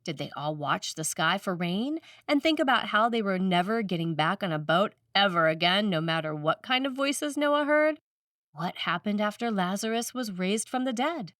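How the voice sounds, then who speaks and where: A woman's voice: mid-range voice, expressive, moderate pace, fairly bright tone, dry recording, recorded from a distance. Woman reading a sermon, dry sound. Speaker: priest reading a written sermon.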